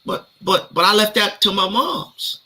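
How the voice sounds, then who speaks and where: Animated New York man, bright voice. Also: sits high, a little slow. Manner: streetwise slang, animated retelling. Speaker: co-host telling his story. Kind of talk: casual storytelling interview.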